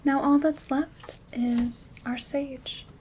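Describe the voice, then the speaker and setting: A woman playing a calm, gentle witch in an ASMR roleplay, soft-spoken and often slipping into whispers as she gives step-by-step instructions. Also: high voice, slow pace, a little hiss or hum. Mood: calm, focused. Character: calm, gentle witch crafting herb bundles. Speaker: ASMR host. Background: rain sounds.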